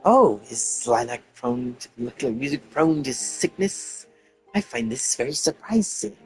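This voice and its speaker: A male game streamer thinks aloud in a casual, rambling way. His medium-pitched voice is soft-spoken but still projects, with faint music in the background. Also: expressive, unhurried. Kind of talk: casual live-stream commentary.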